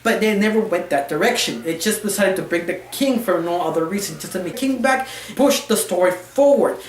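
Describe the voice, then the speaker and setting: Casual female reviewer, mid pitch, opinionated and rambling, halting non-fluent phrasing, roomy echoey recording. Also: lively intonation, background noise. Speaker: cartoon reviewer.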